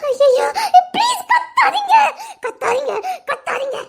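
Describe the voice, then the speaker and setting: Woman playing scared young woman, extremely high pitch, pleading, repetitive begging, amateur drama. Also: animated, unhurried, a little mellow, faint music behind, exaggerated voice. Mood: panicked pleading. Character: frightened young woman tearfully begging not to be exposed.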